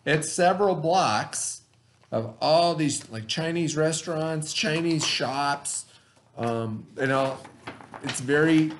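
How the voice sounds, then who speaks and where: A man teaching through a read-aloud, pausing to explain things and put questions to his listeners. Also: a little high, a little hiss or hum.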